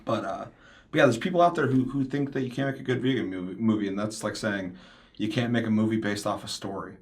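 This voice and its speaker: A man's voice: lowish voice, fast. Emphatic, opinionated man ranting casually. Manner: mimics other people in quoted asides. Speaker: podcast host. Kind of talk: casual opinionated panel discussion.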